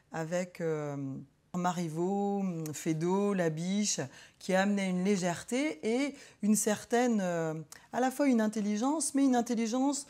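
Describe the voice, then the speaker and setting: A woman psychologist in an interview, talking reflectively with many pauses and hesitant fillers. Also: medium pitch, warm tone.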